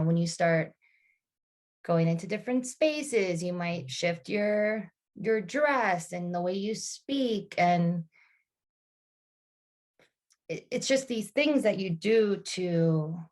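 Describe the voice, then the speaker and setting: A woman's voice: medium pitch, darkish tone. A woman guest on a podcast interview, speaking thoughtfully about her career, with frequent fillers and restarts. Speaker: podcast guest, marketing consultant. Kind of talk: reflective interview conversation.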